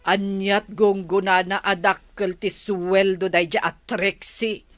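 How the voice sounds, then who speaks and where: A woman's voice: mid-range voice, little pitch movement, slow, fairly bright tone, dry recording, faint music behind. A woman acting a disapproving, grudging older grandmother in a Filipino radio drama, with a complaining manner. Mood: annoyed.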